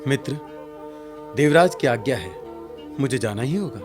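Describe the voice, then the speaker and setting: Animated, commanding warrior king, played by a man. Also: mid-range voice, a little slow, music in the background, lightly acted. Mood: courteous, authoritative. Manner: declamatory, regal. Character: noble, commanding warrior king, protective of his friend. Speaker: actor in a radio play. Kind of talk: theatrical Hindi radio drama acting.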